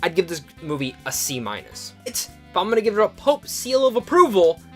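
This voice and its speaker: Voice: mid-range voice, sing-song, fairly quick, a bit bright, soft background music. A person giving a casual, off-the-cuff verdict as a film reviewer.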